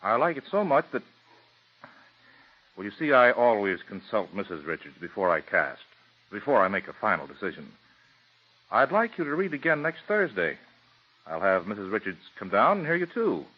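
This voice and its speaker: A courteous, husky playwright with a lively low delivery, heard from off-mic in a dull vintage broadcast. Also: male voice, moderate pace, pauses often. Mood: polite. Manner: polite and hesitant. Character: courteous theater playwright casting a play, man. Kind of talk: 1940s radio acting. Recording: dull, distant old radio broadcast.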